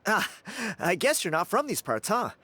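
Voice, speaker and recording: Lively, cocky young dancer. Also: male voice, high-pitched, normal speed, exaggerated voice. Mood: casual. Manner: breezy, self-assured, chuckling. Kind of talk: video game character acting.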